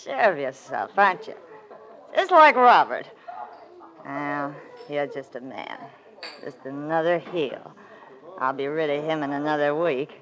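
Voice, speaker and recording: A bitter, lonely young wife in an old radio melodrama. She drags her words out slowly, with wide, volatile swings of pitch. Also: animated, fairly bright tone, lo-fi sound. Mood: bitter taunting. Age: around 30. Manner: taunting, drawn-out, volatile. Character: bitter, lonely, overwrought wife of about thirty. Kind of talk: 1940s radio melodrama acting.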